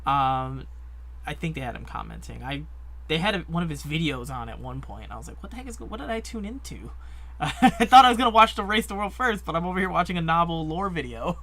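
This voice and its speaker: A man co-hosting a casual gaming podcast, chatty and lively, with lots of likes and ums. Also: a little high, fairly quick. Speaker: co-host.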